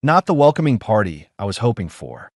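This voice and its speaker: A man playing a rugged human warrior with a rough, dryly teasing way of talking. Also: lowish voice, big pitch swings, brisk, dry recording, studio quality. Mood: low steady.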